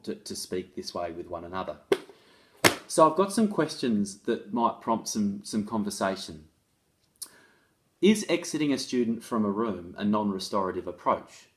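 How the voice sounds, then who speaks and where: Low-voiced man, reading and explaining. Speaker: author and presenter on restorative practices in schools. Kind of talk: reading a case study aloud with informal explanatory asides.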